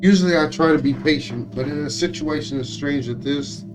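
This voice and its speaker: A man streaming a visual novel, reading its text aloud in a somewhat halting way with occasional stumbles. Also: on the high side, over music, low-quality recording. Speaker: game streamer.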